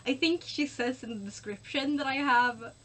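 A woman's voice: high-pitched, moderate pace, fairly bright tone. A young woman bantering casually as a guest in a gaming video, blunt and sweary, recorded in a room indoors. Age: young adult. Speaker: guest co-player. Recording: noticeable background noise.

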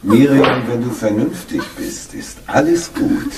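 An actor playing a vicious, scheming, sneering Nazi party boss in a German radio play. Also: male voice, mid-range voice, animated, measured pace, over a noisy crowd, close to an everyday voice. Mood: sneering menace.

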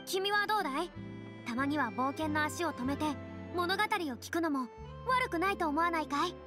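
High-voiced, theatrical young stage diva. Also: female voice, animated, normal speed, over music. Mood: teasing. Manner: boyish first person, lofty, theatrical phrasing. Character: theatrical, self-assured young female former stage star. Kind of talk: Japanese anime game voice acting.

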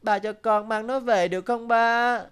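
A woman's voice: a little high, fairly even intonation, a little slow, clear, resonant tone, lightly acted. A woman voicing a hesitant, pleading young boy in a cartoon. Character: pleading young boy out fishing with his father. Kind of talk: cartoon dub acting.

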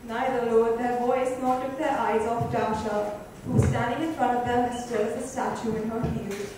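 A woman's voice: a little high, fairly even intonation, normal speed. A woman reading literary narration aloud in an indoor lounge. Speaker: reader in a dramatic book reading. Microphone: far microphone. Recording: distant mic, very echoey room, faint noise.

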